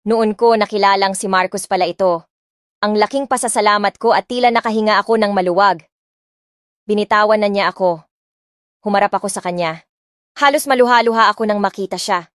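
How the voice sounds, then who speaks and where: Expressive woman narrating a romance audiobook. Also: mid-range voice, a little fast, long pauses, no echo, clean studio sound. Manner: expressive, mixing two languages.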